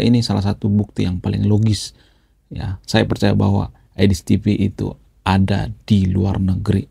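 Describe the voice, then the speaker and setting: A man gives chatty commentary for his channel in a low voice. His tone is gravelly and dark. The sound is dry and close, and he keeps dropping a little "ya" between phrases. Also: no echo. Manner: chatty, adds "ya" after nearly every phrase. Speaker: YouTube channel host. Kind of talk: casual commentary.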